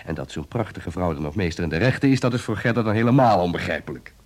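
Low-voiced, sardonic Dutch husband. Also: male voice, animated, quick pace. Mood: mocking. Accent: Netherlands Dutch. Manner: dry, sardonic, teasing. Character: wry, mocking husband, adult man. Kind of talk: radio drama acting.

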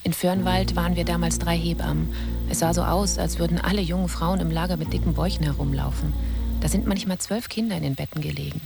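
An actress playing a Red Cross midwife giving plain, homely testimony in a documentary radio play. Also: female voice, on the low side, steady tone, fast, creaky, loud music behind the voice. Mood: recounting. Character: female Red Cross midwife recalling the camp.

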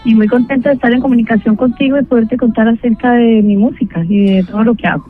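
Colombian woman, middle-aged, flat even delivery, phone-line sound, faint music behind. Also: fairly high pitch, fast. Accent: Colombian, Bogotá. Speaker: guest singer. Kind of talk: phone interview.

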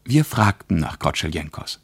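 Casting: a reflective travelling diarist in a radio play, male, very low register, measured literary reading, dry studio sound. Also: big pitch swings, husky, no room sound, heavily acted. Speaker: narrator reading a travel diary. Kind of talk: scripted radio narration.

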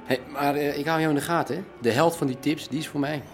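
Actor as a cocky, fairly high-voiced young man. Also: steady tone, fast, soft background music, natural voice. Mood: leering, cocky. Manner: colloquial, cheeky. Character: cocky, leering young male strip-club worker, gangster's son. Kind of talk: radio drama acting.

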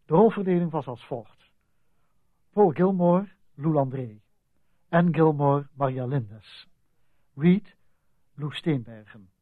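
Slow, high-pitched male radio announcer. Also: expressive, no echo. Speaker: announcer reading radio drama credits. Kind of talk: formal scripted announcement. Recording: dry, muffled lo-fi old radio recording.

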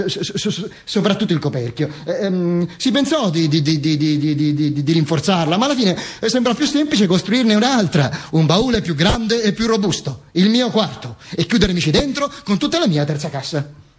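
Man acting high-voiced, pompous, stammering talking dictator's corpse. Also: slightly rough. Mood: wry, halting. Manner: stammering, repetitive, self-correcting, mock-solemn. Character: Mussolini's talking corpse, wry, pompous, rambling storyteller. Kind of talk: theatrical monologue.

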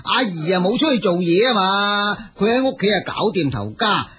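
An actor playing a brash, chauvinist taxi-driving husband in a Cantonese radio drama, husky and scoffing. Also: male voice, high-pitched, animated, moderate pace, background noise. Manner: scoffing, colloquial, emphatic.

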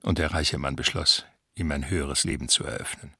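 An extremely deep male voice narrates literary prose in a radio play. It is raspy and forceful, read from a script and recorded dry. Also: fairly quick, dry recording.

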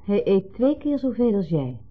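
A woman's voice: mid-range voice, very animated, normal speed. A female actor playing a composed wife caught between two men in a 1970s Dutch radio drama, restrained and clipped in her replies. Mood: polite, composed. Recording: muffled old 1970s radio recording.

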